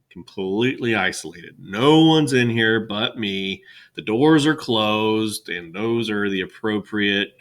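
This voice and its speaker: A man's voice: lowish voice, a bit bright. Boisterous chatty male teacher. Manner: rambling, chatty with many fillers. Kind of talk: casual classroom welcome talk. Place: empty classroom. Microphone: webcam.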